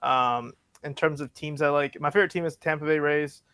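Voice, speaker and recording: A man's voice: a little high, fairly even intonation, brisk, bright, forceful tone, smooth, no echo. A young man from Canada, guesting on a podcast, answering in an eager, rambling way with light laughs. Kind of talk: casual interview answers.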